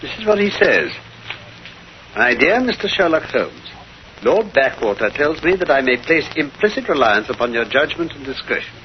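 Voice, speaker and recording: Muffled old radio recording with hiss, assured detective, lively swooping pitch, precise theatrical diction. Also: male voice, moderate pace. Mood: reading aloud. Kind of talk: 1930s radio drama acting. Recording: dull, noisy 1930s radio recording.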